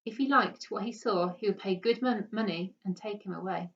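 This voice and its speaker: A woman's voice: mid-range voice, normal speed, a bit bright. Woman reading a storybook, distant dull sound. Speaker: teacher reading a story aloud. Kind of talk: expressive storybook narration.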